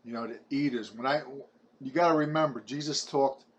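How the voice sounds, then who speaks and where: Casting: man in his 70s, Brooklyn New York accent, halting chatty preacher-style vlogger, mid-pitched. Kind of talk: rambling personal testimony to camera.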